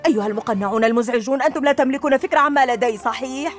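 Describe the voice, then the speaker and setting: A woman's voice: high-pitched, racing, soft background music, close to an everyday voice. A woman voicing a boastful, bratty young girl ninja villain who bosses her henchmen around. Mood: bossy. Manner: bossy and taunting.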